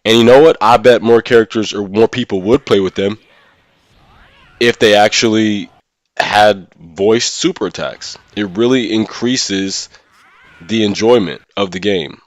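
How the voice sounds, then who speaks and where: A man's voice: lowish voice, unhurried, dry recording. A man who streams games, talking casually and giving his opinions as he thinks aloud. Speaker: live streamer and gaming content creator. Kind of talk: casual livestream discussion of a mobile game.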